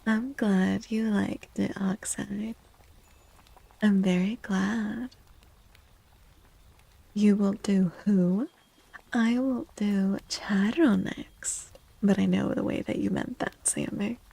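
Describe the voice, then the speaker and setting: A soft-spoken woman chatting in a gentle, playful ASMR style as a streamer, often dropping to a whisper. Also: mid-range voice, fairly even intonation, slow pace, vocal fry, pauses often, slightly rough, rough recording. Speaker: live streamer reading tarot cards for chat. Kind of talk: soft-spoken ASMR chat, greeting viewers.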